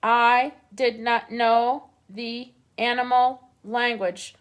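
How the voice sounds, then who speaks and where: A woman reading aloud, voicing a calm, polite female robot who speaks slowly and evenly. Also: fairly high pitch, smooth. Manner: slow, even, deliberate.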